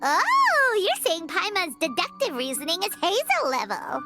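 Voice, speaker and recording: A woman's voice: very high-pitched, huge pitch swings, a little slow, bright, forceful tone, faint music behind, exaggerated voice. A woman voicing a tiny, chirpy, self-important fairy companion in a video game. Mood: chirpy, indignant. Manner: talks of herself in third person.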